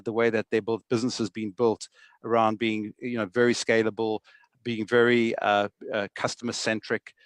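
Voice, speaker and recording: A man's voice: on the low side, no echo. A middle-aged man with a South African accent, a guest in a podcast interview, speaking fluently and often repeating words as he starts a thought. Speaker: guest, sales executive.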